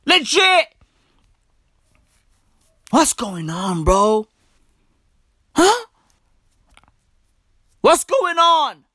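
Woman comic, high voice, slow deliberate delivery, profane slangy rant, dry close sound. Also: sing-song, bright, forceful tone, dry recording. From South Africa. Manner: emphatic, profane, slang-heavy, repeats lines for punch. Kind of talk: stand-up comedy rant.

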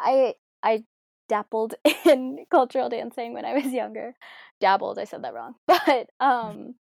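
A woman's voice: a little high, vocal fry, dry recording, studio quality. A young woman answering interview questions in a casual, reflective way, with many fillers and hedges. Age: young adult.

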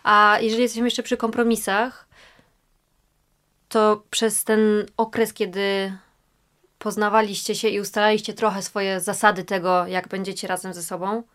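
A woman's voice: medium pitch, flat delivery, fairly bright tone. A woman hosting a casual interview, asking long probing questions and agreeing readily. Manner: friendly, asks long probing questions, quick agreement sounds.

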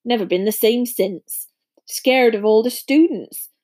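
A woman's voice: a little high, a little slow, a little mellow. A woman voicing a big, good-natured man with a blunt, folksy, emphatic way of talking in a storybook. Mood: hearty, confiding. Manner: folksy, informal, emphatic speech.

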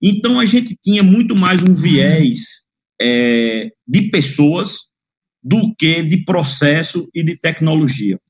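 A man's voice: a little high, big pitch swings, slow pace. Man, blunt, slangy, dull lo-fi call audio. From Northeast Brazil. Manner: expansive, frank, blunt with slang and mild swearing. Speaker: guest. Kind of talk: casual chat. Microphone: video call.

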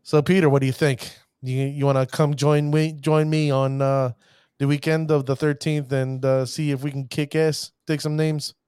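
A man's voice: a little high, flat delivery, moderate pace, darkish tone, clear, resonant tone, no room sound, clean studio sound. A man hosting a card-game show, talking with showman flourishes and stumbling over player names. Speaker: podcast host. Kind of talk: hype-filled casual show talk.

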